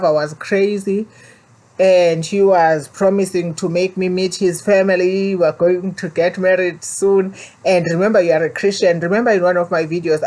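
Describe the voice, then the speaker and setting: A woman with a South African accent talks straight to her viewers, earnest and a little preachy. She repeats her warnings to drive them home. Her voice sits slightly low for a woman. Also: a little mellow. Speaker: vlogger. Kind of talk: personal story and warning to viewers.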